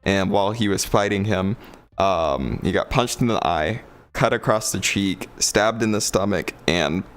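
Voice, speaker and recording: A deep-voiced young man from the South, chatting casually on a livestream, rambling with fillers and laughing often. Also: a little slow, fairly bright tone. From Georgia, USA. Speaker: VRChat streamer. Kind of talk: casual livestream chat reading comments.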